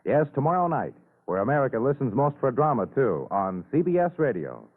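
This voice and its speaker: A man's voice: mid-range voice, big pitch swings, a little fast. A man announcing in polished, emphatic 1950s radio style, reading a dramatic promotional script. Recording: narrow-band old radio, faint music behind.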